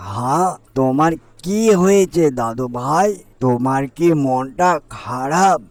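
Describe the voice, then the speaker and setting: Man voicing a gravelly, kindly cartoon grandfather. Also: mid-range voice, animated, takes it slowly, no echo, voice pushed down. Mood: concerned. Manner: coaxing, reassuring. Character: kindly, jovial old grandfather. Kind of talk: cartoon character acting.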